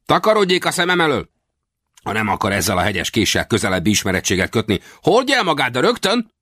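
A man's voice: on the low side, wildly swooping pitch, fairly bright tone, no echo, clean studio sound, voice pushed up, heavily acted. A man voicing a cranky, proud little old Wild West hunter in a storybook, with blustering, exclamatory, folksy talk. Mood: indignant, blustering.

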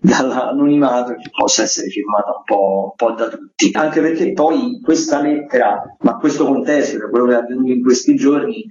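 A man's voice: medium pitch, a little mellow, slight background noise. A man giving wordy, articulate spoken commentary as a theatre critic on the radio. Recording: roomy echo, slightly dull sound.

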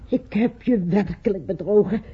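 An actress playing a grave, guilt-laden older wife in a radio play, with a husky, breathy, halting delivery. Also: female voice, mid-range voice. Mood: confessing, grave. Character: solemn, guilt-laden elderly wife confessing. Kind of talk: radio drama acting. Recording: dull old radio recording.

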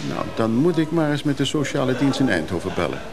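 A man's voice: medium pitch, very animated, fast talker, close to an everyday voice. An actor in a naturalistic radio drama, playing a blunt, world-weary man with a curt, sardonic way of talking. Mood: scornful, irritated. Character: blunt, scornful, world-weary man, wistful about his daughter. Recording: noisy radio drama recording with background ambience.

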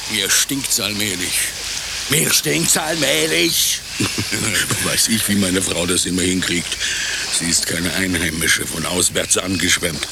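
A man's voice: low voice, takes it slowly, creaky, very noisy, exaggerated voice. A man in a radio play voicing a dry, grumbling stranded husband.

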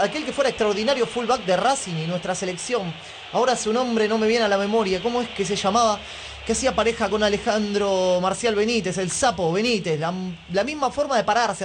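Man playing a high-pitched, grandiloquent Argentine football commentator. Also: a little hiss or hum, lightly acted. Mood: admiring, musing. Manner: grandiloquent, theatrical. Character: excitable, florid male football radio commentator. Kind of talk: radio drama parody of football commentary.

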